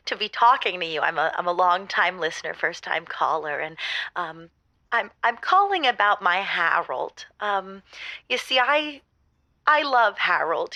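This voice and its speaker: Fretful, halting, bright-voiced female caller. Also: fairly low pitch, no room sound. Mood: nervous, adoring. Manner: halting, stammering, fretful, melodramatic. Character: timid, lovelorn, suspicious woman caller. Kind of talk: improvised comedy call-in radio show.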